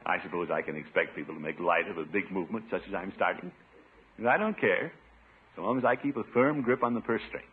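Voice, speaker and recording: A man's voice: low-pitched, fast, a little hiss or hum, close to an everyday voice. A man playing a pompous, thrifty middle-aged uncle in a 1950s radio sitcom, blustering and easily flustered, with nervous chuckles. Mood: self-important. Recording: dull, muffled 1950s radio recording.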